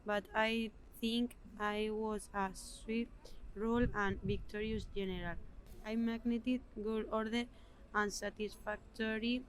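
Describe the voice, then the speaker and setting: A young woman reciting a scripted history piece in the first person, in a halting way. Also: mid-range voice, steady tone, a little hiss or hum, poor audio. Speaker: student presenting a history project.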